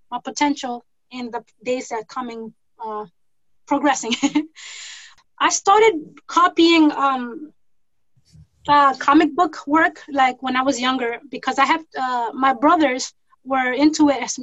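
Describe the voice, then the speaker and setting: A woman in her forties from New York City, a guest artist introducing herself in a thoughtful, rambling way with pauses. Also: high voice, a bit bright, no room sound. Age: 40s. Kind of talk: casual self-introduction. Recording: dull lo-fi sound.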